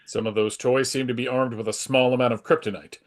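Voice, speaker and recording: A man's voice: mid-range voice, fairly even intonation, fairly quick, close to an everyday voice. A man playing an earnest, self-assured superhero in a live dramatic comic book reading. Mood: resolute. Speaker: performer in a dramatic comic book table read.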